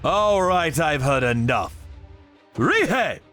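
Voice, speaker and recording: A man's voice: a little high, sing-song, slow, sharp tone, soft background music, full character voice. A man voicing a cold, mocking torturer in a cartoon story, drawing out his menacing taunts. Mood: cold, commanding.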